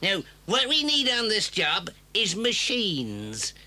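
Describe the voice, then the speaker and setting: An actor playing a mean, obstinate farmer villain in an audio story, snappish and menacing. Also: male voice, sits high, huge pitch swings, slow, pushed and bright, heavily acted. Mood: scheming, bossy. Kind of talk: 1980s audio story dramatisation.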